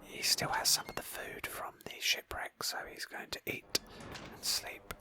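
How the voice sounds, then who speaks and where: A woman whispering gently as she narrates an ASMR roleplay of a video game in a storytelling manner. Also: unhurried. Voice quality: breathy whisper. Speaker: host of a roleplay game playthrough.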